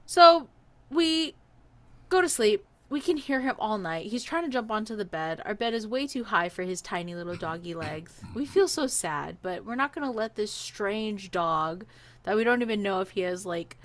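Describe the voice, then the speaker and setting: A woman telling a personal story in a casual, animated, chatty way, acting out bits of dialogue as she goes. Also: medium pitch, normal speed.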